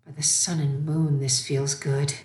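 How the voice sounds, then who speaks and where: Lady doing a storybook pony princess, lowish voice, regal n gentle, patient teacher type, kinda formal. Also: level tone, husky. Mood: contented. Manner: measured, formal.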